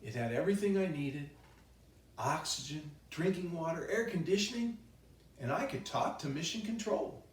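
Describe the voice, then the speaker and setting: A man reading a children's bedtime story aloud in a playful, expressive way with chatty asides. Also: a little high, moderate pace. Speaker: children's author reading his own book. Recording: roomy echo, distant microphone.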